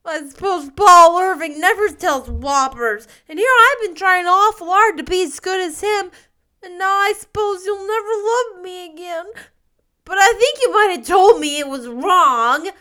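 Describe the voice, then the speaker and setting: Lady doing a earnest little storybook boy, high bright voice, blurts stuff out all childish n frank. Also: big pitch swings, unhurried, full character voice. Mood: tearful, remorseful. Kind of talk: storybook character voice.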